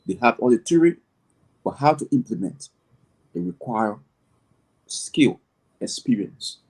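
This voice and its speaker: A man's voice: medium pitch, sing-song, a little slow, darkish tone, husky, poor audio. A middle-aged man with a Liberian accent, a farmer and agribusiness owner being interviewed, explaining earnestly at length with frequent restarts. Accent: Liberian English. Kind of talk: conversational interview answer.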